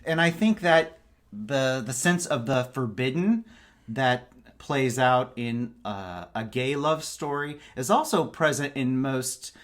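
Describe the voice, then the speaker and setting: A man speaking as a podcast guest in a casual book discussion, with thoughtful, measured phrasing. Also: medium pitch, animated, a bit bright.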